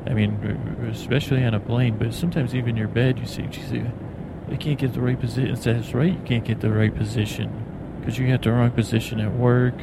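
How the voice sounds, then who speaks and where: A man hosting a bedtime podcast in a meandering, halting ramble, stammering and repeating words. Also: medium pitch, vocal fry. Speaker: podcast host. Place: on an airplane in flight. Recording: steady airplane cabin noise behind voice. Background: airplane cabin drone.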